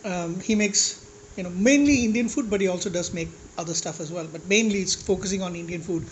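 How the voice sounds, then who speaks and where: Blunt, slightly high-voiced man ranting. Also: fairly quick, slight background noise, low-quality recording. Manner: blunt, exasperated, swearing at critics; rambling, with restarts and asides. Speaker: food vlogger. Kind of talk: casual rant to camera while eating.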